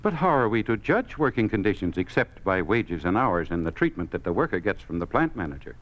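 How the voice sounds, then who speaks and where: A man's voice: lowish voice, very animated, fairly quick. An American actor playing an anxious, deferential middle-aged union chairman in a 1950s radio drama, hesitant and earnest. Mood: earnest, protesting. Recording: dull, lo-fi 1950s radio recording.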